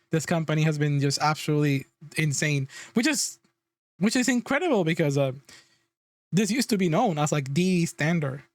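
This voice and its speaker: High-pitched man ranting, dry close sound. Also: no echo. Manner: exasperated, sweary, emphatic. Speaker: livestreamer reacting to news. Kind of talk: casual ranting reaction commentary.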